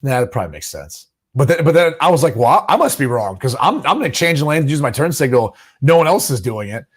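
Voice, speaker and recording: A man's voice: medium pitch, sing-song, fast talker, breathy edge. A man co-hosting a sports podcast, teasing and laughing in casual banter. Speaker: podcast co-host, former player.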